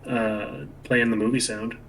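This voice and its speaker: Bright-voiced man on a distant, noisy call. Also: lowish voice, little pitch movement, a little slow. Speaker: co-host on a film commentary stream. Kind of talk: casual chat and film commentary.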